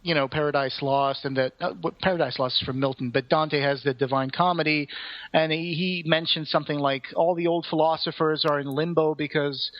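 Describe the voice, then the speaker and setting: Halting man, slightly high voice, muffled recording. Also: fairly even intonation. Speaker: podcast co-host. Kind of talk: casual podcast discussion, retelling a play.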